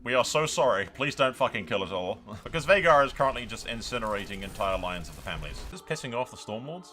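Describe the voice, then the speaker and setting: A man giving casual, excited gameplay commentary as a streamer, with sweary, theatrical banter. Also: mid-range voice, fast. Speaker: game streamer narrating a strategy role-play. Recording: music behind the voice. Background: music.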